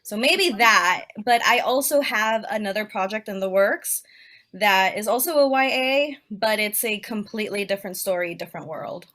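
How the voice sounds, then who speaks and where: A young woman from Peru, an author being interviewed, chatting casually with lots of "like" and quick laughs over a video call. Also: mid-range voice, fairly bright tone. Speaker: author guest.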